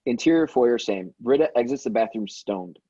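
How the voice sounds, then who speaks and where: A man reads screenplay action lines as a scripted narrator. His tone is relaxed and a little husky. The recording is dry and slightly dull. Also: medium pitch, normal speed, dry recording. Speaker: stage-direction reader at a table read.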